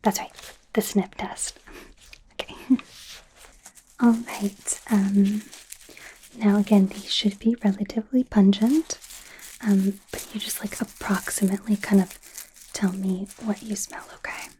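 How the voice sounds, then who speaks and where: A woman playing an eager, friendly, slightly awkward female werewolf doctor in an ASMR medical exam roleplay, speaking in a soft breathy whisper with a hesitant, rambling manner. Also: mid-range voice, little pitch movement, unhurried, long pauses, a little hiss or hum, natural voice, poor audio. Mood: teasing, curious.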